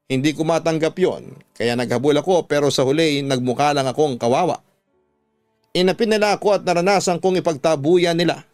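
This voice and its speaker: A man's voice: a little high, a little fast, a little mellow, no echo. A man narrating a dramatic first-person story as the host of a radio drama. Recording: close dry studio sound over music.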